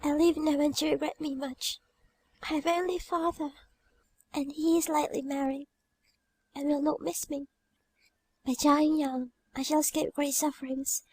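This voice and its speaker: A woman playing a gentle, pious young girl who is dying, speaking softly and weakly in a dramatic audiobook reading. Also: high voice, normal speed, long pauses, close to an everyday voice, low-quality recording. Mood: serene, frail. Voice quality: soft, weak, breathy. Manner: calm, gentle, tender.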